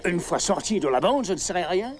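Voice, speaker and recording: Un hors-la-loi australien vaniteux : ton emphatique, voix plutôt claire et mobile, léger souffle derrière. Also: male voice, high-pitched, very animated, brisk, slight background noise. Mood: offended. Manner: indignant, emphatic. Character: vain outlaw man, touchy about fame. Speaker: outlaw. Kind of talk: French film dub.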